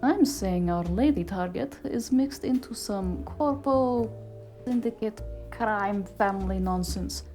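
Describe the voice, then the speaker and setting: A woman playing a sharp, street-savvy, exasperated fixer in a cyberpunk noir audio drama, sardonic and emphatic. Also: medium pitch, takes it slowly, faint music behind, natural voice. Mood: wary, analytical.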